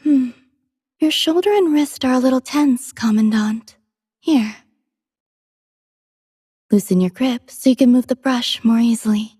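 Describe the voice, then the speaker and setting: A woman playing a gentle, poetic female android companion in a game, soft-spoken and wistful with a breathy voice. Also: on the high side, unhurried, long pauses, dry recording, studio quality. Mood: patient, instructive. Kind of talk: scripted game character voice lines.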